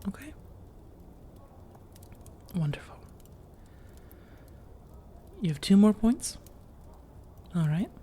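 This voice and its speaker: Mellow, soft-spoken flirtatious vampire woman, acted out by a woman. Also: medium pitch, very animated, full character voice. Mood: coaxing, reassuring. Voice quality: soft, breathy delivery slipping into whispers. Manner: teasing, measured, intimate, with deliberate pauses. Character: flirtatious, coaxing female vampire seeking a roommate. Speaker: audio roleplay performer. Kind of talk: soft-spoken ASMR roleplay.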